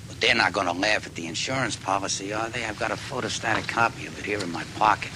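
An actor playing a dogged, deferential police detective, speaking in halting, folksy, musing phrases. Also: male voice, fairly low pitch, natural voice. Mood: firm, pressing. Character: dogged, deferential, rumpled male police detective closing in on a killer. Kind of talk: dramatic dialogue acting. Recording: noticeable background noise.

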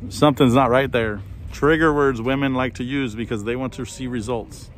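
A man's voice: mid-range voice, steady tone, moderate pace. A man talking casually and informally in slang, as a vlogger filming out on the street. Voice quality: slangy, profane. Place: outdoors on streets near traffic. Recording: noisy outdoor street with traffic.

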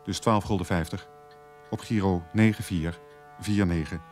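A man's voice: low-pitched, steady tone, moderate pace, darkish tone, faint music behind. A man reading a scripted announcement of credits as a radio announcer.

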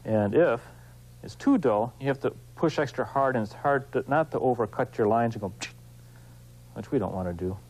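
A man hosting an instructional craft demonstration, explaining informally and in a folksy, unhurried way while he works with his hands. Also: medium pitch, a little mellow, a little hiss or hum, rough recording. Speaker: woodcarving instructor, show host.

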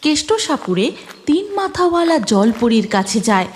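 A woman's voice: fairly high pitch, very animated, moderate pace, soft background music. A woman narrator reading a scripted fairy tale for a cartoon.

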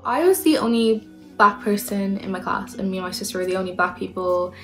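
A young Irish woman recounting a personal memory, reflective and halting with fillers. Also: mid-range voice, a bit bright, faint music behind. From Ireland. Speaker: interviewee. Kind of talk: personal testimony.

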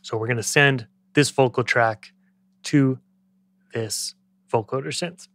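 A man in his thirties giving a conversational, step-by-step software tutorial as an instructor, close to the microphone. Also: medium pitch, steady tone, unhurried, dry recording, soft background music. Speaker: music production tutorial instructor.

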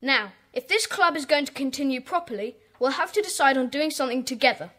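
High-voiced, bossy schoolboy. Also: female voice, animated, fast, bright, forceful tone. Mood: decisive. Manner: decisive, orderly. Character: bossy, sensible schoolboy leader of secret club. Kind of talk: children's audio drama acting.

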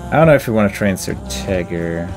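A man's voice: low voice, lively intonation, fairly quick. A man doing casual gameplay commentary, thinking his choices through aloud in a deliberative way. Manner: deliberative, musing to himself. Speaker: video game let's play host. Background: faint game music.

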